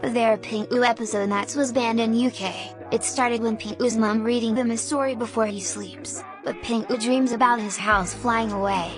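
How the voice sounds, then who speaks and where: A woman's voice: a little high, fairly even intonation, moderate pace, hardly any pauses. A woman reading simple scripted narration as a video narrator. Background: music.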